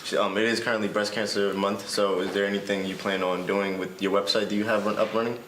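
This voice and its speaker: A man's voice: on the low side, little pitch movement, fast, a bit bright, reverberant, slight background noise, low-quality recording. A young man, a college student, hosting a casual interview and asking informal questions. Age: young adult.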